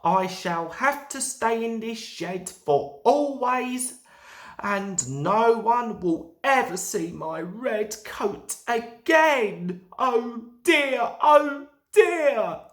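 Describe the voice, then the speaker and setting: A woman's voice: medium pitch, lively intonation, drawn-out words. A woman voicing a proud young male steam engine who wails when sad and puffs with effort. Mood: woeful.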